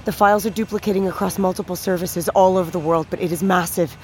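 A woman's voice: fairly low pitch, fairly even intonation, quick pace, darkish tone, a little hiss or hum, lightly acted. An actress playing a frantic, foul-mouthed young woman hacker, brusque and determined, in a naturalistic audio drama. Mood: urgent, earnest. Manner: urgent, blunt, swearing. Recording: music behind the voice.

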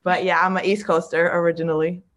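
A woman's voice: on the low side, flat delivery, fast talker, background noise. A woman in her thirties, originally from Western Massachusetts, chatting easily as a guest performer and laughing often. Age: 30s. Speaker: guest, drag king performer. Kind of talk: casual interview chat.

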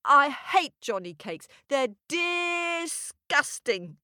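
A woman's voice: high-pitched, very animated, extremely slow, bright, forceful tone, no echo, voice pushed up, heavily acted. A nervous young boy, polite but hesitant, with a raised, light voice, as a woman storyteller puts him on. The sound is close and dry. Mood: politely nervous.

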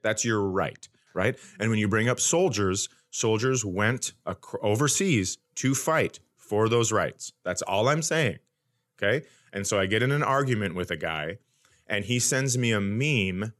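Low-voiced American man telling comic stories. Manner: animated, ranting, blunt, swearing. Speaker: podcast host, comedian. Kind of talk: casual comedic storytelling.

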